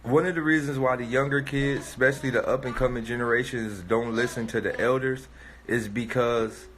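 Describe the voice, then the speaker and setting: A man's voice: medium pitch, steady tone, a little fast, a bit bright. A man in his thirties with a cold, sniffling a little as he thinks aloud in a casual livestream monologue, loose and rambling with many fillers and restarts. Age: 30s. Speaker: rapper streaming live.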